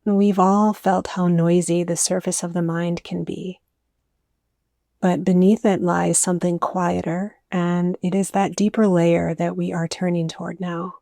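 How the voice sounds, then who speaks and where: A woman with a dark, relaxed voice that stays very even in pitch. She narrates a guided meditation in gentle, soothing invitations, recorded close and dry. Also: creaky, no room sound. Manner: soothing, unhurried phrasing with soft invitations.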